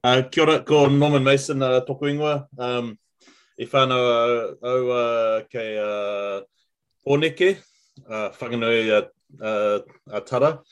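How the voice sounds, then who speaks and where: A man from New Zealand, an ecologist, introducing himself hesitantly with many pauses and fillers. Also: mid-range voice, steady tone, clean tone. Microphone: video call.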